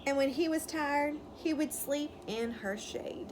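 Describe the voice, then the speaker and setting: A woman teacher reading a picture book aloud to young children, pausing to explain words simply and ask questions. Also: sits high. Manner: instructive, explains words simply, checks understanding. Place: outdoors. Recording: clearly noisy outdoor ambience, wind chime. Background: birds chirping, wind chime.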